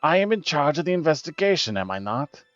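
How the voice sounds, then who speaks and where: A man's voice: fairly high pitch, very animated, fast talker, a bit bright, no room sound. A man playing an indignant male investigator, formal and insistent, accusing others in a dramatic political game scene. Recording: dull lo-fi audio with music behind.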